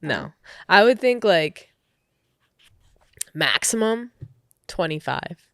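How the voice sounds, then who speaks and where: A person in their thirties co-hosting a podcast in casual chat, supportive and reflective, with soft laughs. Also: medium pitch, sing-song, slow, no room sound, clean studio sound. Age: 30s. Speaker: podcast co-host.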